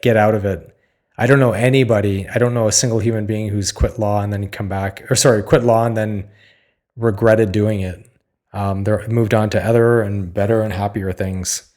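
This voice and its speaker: A slightly hoarse man talking candidly in a solo podcast, weary and confessional, halting often to restart his thoughts. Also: fairly low pitch, a little mellow. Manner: halting, searching, with many restarts and fillers. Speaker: podcast host.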